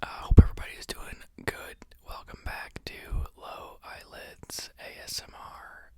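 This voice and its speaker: Soft whispering ASMR book reader. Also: a little slow. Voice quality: whispered throughout. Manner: reads aloud with stumbles and restarts, adds short personal asides. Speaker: ASMR channel host.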